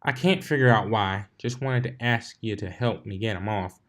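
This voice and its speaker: A man's voice: on the low side, normal speed, lightly acted. Friendly farm mare, male reader, folksy phrasing, plain storybook character read. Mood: friendly, puzzled. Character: folksy, friendly female farm pony asking for help. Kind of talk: fan fiction character reading.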